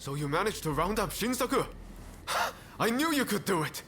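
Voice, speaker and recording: A man's voice: high-pitched, very animated, moderate pace, pushed and bright, slight background noise, heavily acted. A man acting an eager, quirky revolutionary in a video game cutscene, enthusiastic and playful. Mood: encouraging.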